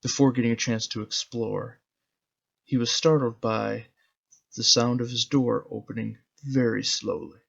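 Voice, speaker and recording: Man, dramatic storybook narrator, creaky voice, slightly muffled recording, music behind. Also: mid-range voice, fairly even intonation, unhurried, a bit bright. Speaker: author reading his own zine.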